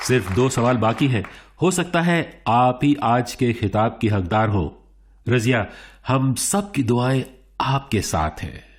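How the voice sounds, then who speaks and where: A man playing a suave, encouraging game show host in a radio play, presenting theatrically with deliberate pauses. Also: mid-range voice, lively intonation. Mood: congratulatory. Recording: music bed behind the voice.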